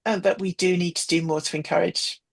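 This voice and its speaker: A woman from the UK, a professor, explaining current events briskly on a video call. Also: fairly low pitch, steady tone, creaky. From United Kingdom. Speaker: professor guest. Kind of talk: conversational explanation.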